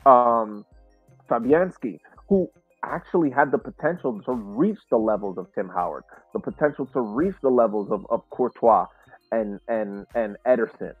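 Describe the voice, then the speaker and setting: A man discusses sport as a podcast co-host, sounding earnest and deliberate. His voice comes through narrow and phone-like, dry and close, with faint music underneath. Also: medium pitch, slightly rough, dry recording.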